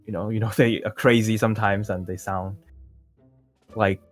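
A man's voice: fairly low pitch, steady tone, moderate pace, natural voice. Man acting as nervous zombie survivor, stammering, hesitant, repeats himself, game roleplay, music behind. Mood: casual, unsure. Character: nervous, forgetful male celebrity in a zombie apocalypse. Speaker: roleplay player. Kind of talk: improvised roleplay acting in a Minecraft series.

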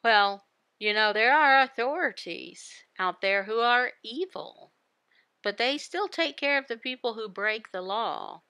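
A woman voicing a Bible devotional, explaining it phrase by phrase in a deliberate manner with pauses. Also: a little high, expressive, sharp tone, clear, resonant tone, no echo. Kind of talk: Bible teaching, voiced devotional.

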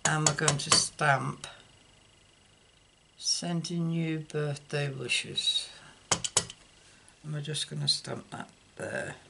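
An older woman giving an unscripted card-making demonstration, haltingly thinking aloud as she works at a table indoors. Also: deep voice, steady tone. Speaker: craft tutorial host. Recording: clear background noise, clicks.

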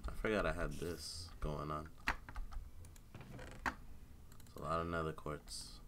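Young man, very deep voice, congested, headset mic, casual swearing commentary, keyboard clicks. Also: level tone, slow pace, noisy background. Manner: laid-back, mutters to himself, swears often. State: congested with a cold and sore throat. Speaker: gaming streamer. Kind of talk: casual live gameplay commentary. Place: home room at a computer.

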